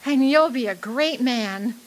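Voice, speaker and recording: A woman's voice: fairly high pitch, a little slow, close to an everyday voice, poor audio. A woman acting in a radio drama as an adoring, earnest young sweetheart, romantic and hopeful.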